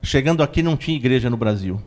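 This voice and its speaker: Brazilian male guest, mid-pitched voice, calm and explanatory, long flowing answers. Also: rapid-fire. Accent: Brazilian Portuguese. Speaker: church spokesman guest.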